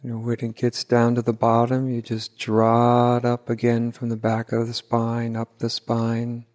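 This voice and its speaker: Male meditation teacher, gentle guided practice, very slow pace, level intonation, long pauses, long hall reverb. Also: mid-range voice, completely flat delivery. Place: large reverberant room at a retreat. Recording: hall reverb, live retreat recording.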